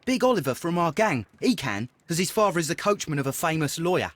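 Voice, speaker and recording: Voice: mid-range voice, big pitch swings, quick pace, no echo. A performer voicing a cheeky, cocky young street urchin boy in a video game. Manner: clipped, cocky street talk. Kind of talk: scripted video game dialogue.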